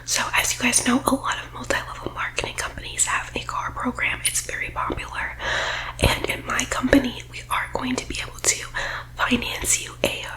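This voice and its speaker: A woman whispering breathily in a persuasive ASMR roleplay, like a team leader giving a sales pitch, close to the microphone. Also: completely flat delivery, moderate pace, bright, forceful tone, low-quality recording.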